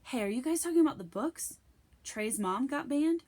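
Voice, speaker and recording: A woman's voice: high-pitched, animated, normal speed, darkish tone, voice pushed up. A woman reading a children's book aloud, voicing a laid-back, slangy, slightly vain schoolboy. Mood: casual.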